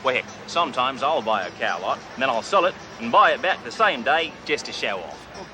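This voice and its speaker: A man voicing a brash, boastful rich man with a put-on Australian accent, talking in loud swaggering bluster. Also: medium pitch, sing-song, brisk, background noise, lightly acted. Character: brash fake-Australian male tycoon, boastful.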